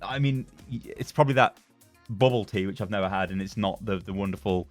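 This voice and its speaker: A man's voice: fairly low pitch, fairly quick, no echo, faint music behind. A young British man chatting casually as a gaming YouTuber, rambling and laughing as he reads and reacts to forum posts. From Britain.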